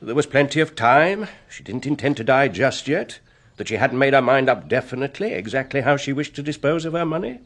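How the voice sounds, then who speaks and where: An actor playing a courteous, unflappable family solicitor in a British radio drama, with an English accent and a formal, explanatory manner. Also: male voice, medium pitch, expressive, brisk, a bit bright. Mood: patient, wry.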